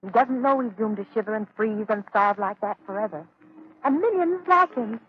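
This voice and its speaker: A woman's voice: a little high, expressive, moderate pace, natural voice. A woman plays a troubled, tender-hearted heroine in an old radio serial. She speaks earnestly and dramatically, on a muffled vintage broadcast. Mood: worried, earnest. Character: compassionate, anxious lady companion of the hero. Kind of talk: 1930s radio acting.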